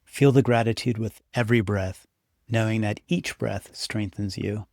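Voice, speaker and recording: A man narrating a scripted guided meditation as the guide, speaking in an unhurried, encouraging, instructive way. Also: on the low side, steady tone, gravelly, darkish tone, dry recording, studio quality. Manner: unhurried, instructive, encouraging second-person address.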